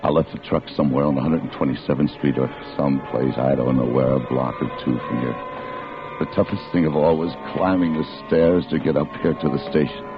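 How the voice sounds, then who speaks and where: An actor playing a desperate wounded gunman on the run, terse and halting, in an old radio crime drama. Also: very deep voice, steady tone. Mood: pained, grim. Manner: terse, halting, hard-boiled. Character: wounded desperate fugitive gunman telling his own flight. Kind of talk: 1940s radio drama acting, first-person crime narration. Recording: muffled vintage radio broadcast with music behind.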